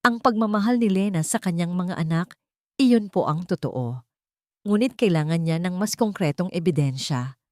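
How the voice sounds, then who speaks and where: A woman's voice: lowish voice, expressive, moderate pace, no room sound, studio quality, close to an everyday voice. A woman voicing a protective, resolute male billionaire who speaks firmly and formally in a courtroom drama story. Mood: firm, defiant. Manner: firm, formal, declarative.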